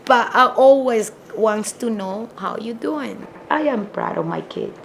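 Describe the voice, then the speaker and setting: A woman's voice: fairly high pitch, expressive, unhurried, creaky, slight background noise. A woman in her fifties from El Salvador with a Salvadoran accent, Spanish her first language, speaking in a heartfelt, reflective way in a documentary interview, often restarting her phrases. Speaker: barber shop owner.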